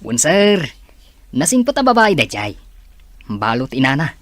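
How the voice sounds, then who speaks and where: Audio drama bit part: chatty, deferential henchman, played by a man, fairly high, animated voice. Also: measured pace, dry recording, heavily acted. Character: deferential male underling, chatty informant. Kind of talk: Ilocano audio drama acting.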